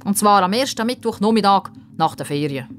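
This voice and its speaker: A woman's voice: mid-range voice, lively intonation, a little fast, faint music behind, voice pushed up, lightly acted. A woman voicing a smug, intimidating boy bully in a children's story, taunting and sneering. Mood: menacing, smug.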